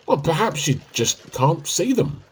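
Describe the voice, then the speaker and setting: An actor playing an evasive, sheepish husband with a dry wit in a radio play comedy, hesitant and teasing. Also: male voice, a little high, big pitch swings, unhurried, slightly rough, heavily acted.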